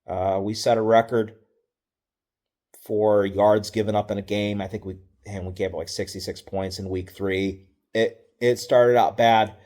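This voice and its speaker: A middle-aged man, a football coach, telling stories from past seasons in a relaxed, wry, matter-of-fact way, with fillers and self-corrections. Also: lowish voice, unhurried. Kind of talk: casual storytelling.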